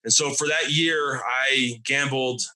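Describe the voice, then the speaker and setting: A man in his thirties from Arizona, telling his recovery testimony as a podcast guest. He talks haltingly and often repeats and restarts his words. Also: mid-range voice, fairly even intonation, sharp tone. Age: 30s.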